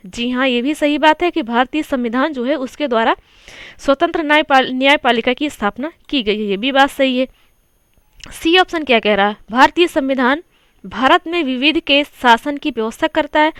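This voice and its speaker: A woman's voice: high voice, fairly bright tone, dry recording, clean studio sound. A woman teaching an exam coaching lesson, going through quiz answers in a conversational way and asking the listener questions. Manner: conversational, repeats points and asks the listener questions.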